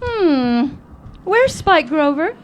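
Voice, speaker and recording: A woman playing a kind, lively young society lady in a live old-time radio drama. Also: sits high, drawn-out words, heavily acted. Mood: curious, kindly. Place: live performance in a hall. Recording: live stage recording with background noise.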